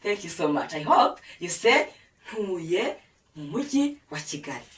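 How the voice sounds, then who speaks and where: Slow, coaxing Rwandan woman teacher. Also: lowish voice, very animated, slight background noise. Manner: patient, coaxing, many "yes?" and "aha" prompts. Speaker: language teacher. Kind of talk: slow language lesson with prompts to repeat. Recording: echoey room, voice a bit far from the mic.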